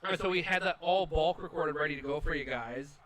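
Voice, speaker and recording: Guy rambling casually over gameplay, bit high voice, loud game crowd behind. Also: brisk. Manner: rambling, filler-heavy, self-assured. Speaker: gaming channel co-host. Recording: game crowd noise underneath. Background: arena crowd from the video game.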